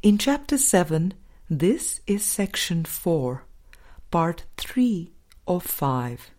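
Low, slow, mellow woman narrator. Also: very animated. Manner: measured, deliberate phrasing with pauses. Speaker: audiobook reader. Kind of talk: scripted spiritual audiobook narration.

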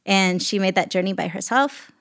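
A woman from Alaska speaking reflectively as an interview guest, in deliberate, measured phrases. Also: medium pitch, a bit bright. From Alaska, United States. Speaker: podcast guest, executive director of an arts venue.

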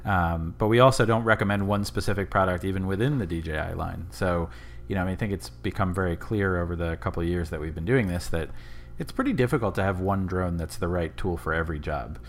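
Male podcast co-host, very low pitch, brisk conversational delivery, light noise behind.

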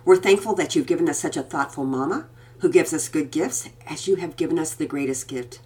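A woman voicing a gentle father who comforts and prays with his children in a storybook reading. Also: sits low, a little fast, slightly rough. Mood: earnest. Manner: calm, comforting, earnest.

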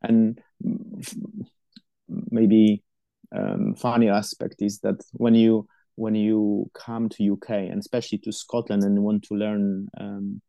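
A man's voice: fairly low pitch, flat delivery, slow pace, gravelly, warm tone, no echo. A middle-aged man who is not a native English speaker, answering interview questions about supporting university students, with many fillers and restarts.

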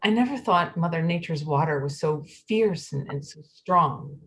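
A woman's voice: low voice, expressive, normal speed, fairly bright tone. A woman reading a monologue in a plain conversational way about being caught out by a storm. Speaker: reader performing a monologue. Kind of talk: readers theatre monologue.

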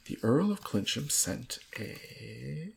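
A woman's voice: very deep voice, big pitch swings, slow, vocal fry, a little hiss or hum. A woman co-hosting a podcast, reading an old novel aloud in an amused, dramatic storytelling way.

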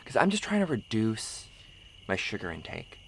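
Actor as needy, fussing woman. Pushy, repetitive, oblivious, lively intonation, improv radio drama. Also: medium pitch, moderate pace, creaky, a little hiss or hum. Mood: apologetically pushy. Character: needy, clingy, oblivious woman named Cheryl, fussing persistently.

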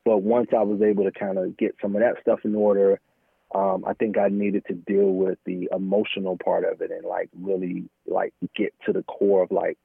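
An American man speaking candidly and informally as an interview guest, halting often and peppering his talk with "man" and "you know". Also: low-pitched, flat delivery, creaky, husky, no echo. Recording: thin phone-like line, dry.

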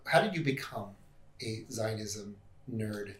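A man's voice: lowish voice, animated, takes it slowly. Male interviewer in an echoey room. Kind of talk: casual conversational interviewing. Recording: roomy, echoey sound.

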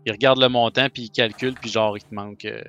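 A man's voice: medium pitch, steady tone, fast, pushed and bright, clear, resonant tone, muffled recording. A man with a Quebec French accent, narrating as game master in a casual voice call, chatty, with many fillers.

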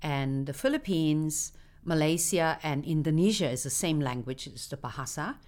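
Older woman with a low voice. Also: moderate pace, darkish tone. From Hong Kong. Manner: anecdotal, laughs between stories. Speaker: singer interviewed as guest. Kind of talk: reminiscing interview.

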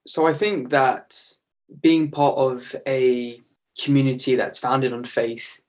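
A man's voice: medium pitch, steady tone, slow. A young man, a student, talking with wry, playfully grandiose humour. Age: young adult. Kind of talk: casual discussion.

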